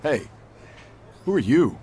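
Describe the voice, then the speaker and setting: Arrogant wrestler in a game, scornful and cocky, voice on the high side. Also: male voice, very animated, slow pace, mellow, a little hiss or hum. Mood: indignant, boastful. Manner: cocky, condescending. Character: arrogant, scornful male star pro wrestler. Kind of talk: game cutscene dialogue.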